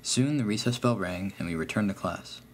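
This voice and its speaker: A man's voice: lowish voice, brisk. A man reading a scripted first-person horror story as its narrator.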